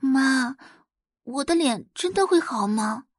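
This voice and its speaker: Brief: woman plays spoiled young daughter, anxious and demanding, raised pitch, lively swings, audio drama. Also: slow pace, no echo, clean studio sound, exaggerated voice. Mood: worried. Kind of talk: Chinese audio drama acting.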